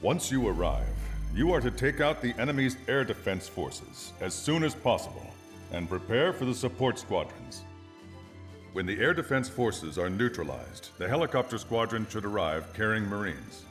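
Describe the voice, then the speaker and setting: An actor playing a stern military officer, delivering a formal, authoritative mission briefing for a video game. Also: male voice, on the low side, big pitch swings, normal speed, soft background music. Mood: formal, resolute.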